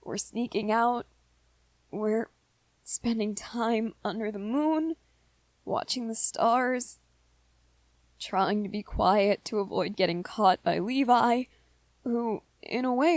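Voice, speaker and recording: A woman voicing a gentle, lovestruck young vampire man in a romantic ASMR roleplay, earnest and hesitant, with small stammers. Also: mid-range voice, no echo. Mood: excited, wistful.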